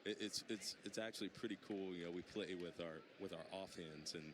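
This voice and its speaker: Male pro athlete, very low voice, rapid but halting casual interview, clear outdoor noise. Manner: hesitant, repeats words, many "you know"s. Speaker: professional baseball player.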